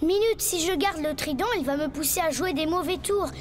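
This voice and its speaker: Woman voicing a high-pitched, earnest schoolboy. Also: fairly quick, music in the background, close to an everyday voice. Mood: reluctant, earnest. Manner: slangy, boyish, earnest. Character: mischievous but good-hearted schoolboy, casual and earnest. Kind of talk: French animated series acting.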